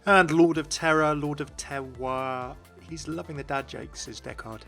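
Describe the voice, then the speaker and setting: A man's voice: on the high side, a little slow, vocal fry, sharp tone. A man hosting a gaming video, giving scripted explanations in narration. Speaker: video host. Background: music.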